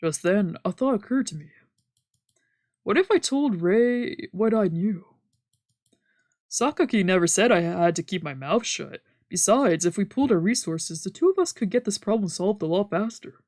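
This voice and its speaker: A woman's voice: medium pitch, animated, moderate pace, a little mellow, no room sound. Woman narrating in a dry recording. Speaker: let's player reading a visual novel aloud. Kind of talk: scripted narration, read aloud.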